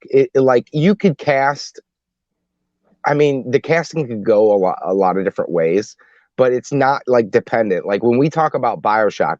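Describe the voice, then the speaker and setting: A man co-hosting a podcast in casual panel chat, halting and repeating himself as he gets into a point. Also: mid-range voice, lively intonation, darkish tone, dry recording, highs cut off. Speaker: podcast co-host.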